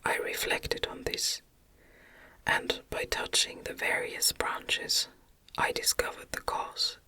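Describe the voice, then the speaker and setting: A narrator reading a gothic novel as a gentle, deliberate ASMR whisper.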